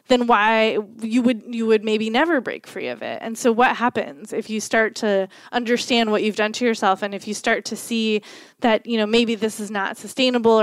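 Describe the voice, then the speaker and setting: A woman co-hosting a podcast, chatting casually about a book in a rambling way with lots of fillers, a little croaky from a cold. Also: on the high side, no echo. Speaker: podcast co-host. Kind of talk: casual book-review chat.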